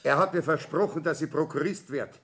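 An actor playing an indignant, coarse employee in a radio play, blustering in a gravelly voice with a Bavarian accent. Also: male voice, a little high, fairly quick, a bit bright. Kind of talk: 1960s German radio play acting.

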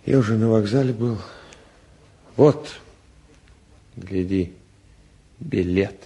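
A man's voice: lowish voice, slow, mellow. An actor playing a wistful but decided young man in a 1950s Soviet radio drama, speaking in a subdued way. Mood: wistful, resigned.

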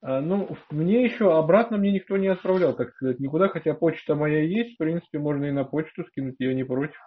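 A man's voice: on the high side, quick pace. A man speaking politely and hesitantly in a phone conversation with an official. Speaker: prospective student, applicant. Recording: dull lo-fi phone call.

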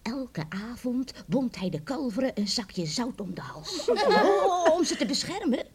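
An actress playing a lively older grandmother in a radio drama, telling eerie tales with chuckles and hushed suspense. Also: sits high, measured pace, a crowd in the background. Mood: eerie, confiding. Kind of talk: radio drama acting, storytelling.

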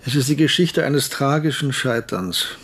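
A man's voice: mid-range voice, animated, moderate pace, a bit bright, slight background noise, natural voice. An actor playing a reflective, philosophical man in radio drama dialogue, musing as he explains.

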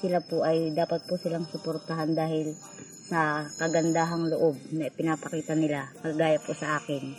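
A woman's voice: low voice, level tone, moderate pace, darkish tone, soft background music, poor audio. A woman in her late twenties with a Filipino accent, giving a personal testimony. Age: 20s. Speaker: member of a rural organization giving a testimonial.

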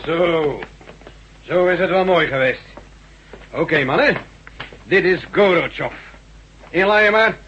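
A man's voice: on the high side, lively intonation, slow pace, pauses often, a bit bright, heavily acted. A man acting in a radio drama as a brusque, commanding policeman with a curt manner. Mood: stern, commanding. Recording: muffled, slightly noisy radio recording.

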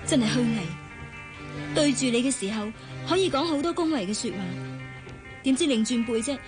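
Actress as earnest young woman, higher voice. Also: animated, moderate pace, music in the background, natural voice. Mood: hurt, indignant. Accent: Hong Kong Cantonese. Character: earnest, proud young bride-to-be working in an office. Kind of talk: Hong Kong radio drama acting.

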